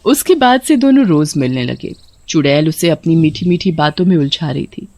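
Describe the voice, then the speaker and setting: A woman narrating a scripted horror story in a steady storytelling voice. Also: moderate pace, a little hiss or hum. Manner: steady storytelling, dropping lower for eerie moments.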